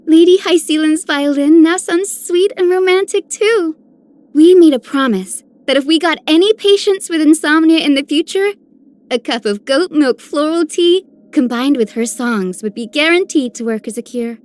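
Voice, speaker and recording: A woman's voice: high voice, normal speed, a little mellow, lightly acted. A woman voicing a sweet, caring young healer in a video game. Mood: cheerful, caring. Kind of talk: scripted game character lines.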